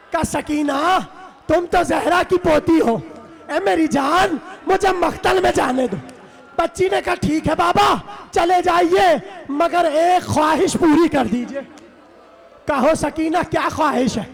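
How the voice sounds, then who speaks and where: A man delivering an impassioned mourning sermon as a religious orator, lamenting dramatically in a strained, wailing voice with drawn-out cries and repeated phrases. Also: extremely high pitch, vocal fry. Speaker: religious orator reciting Karbala martyrdom narrative to mourners. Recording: loud crowd of mourners behind the voice. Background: crowd of mourners.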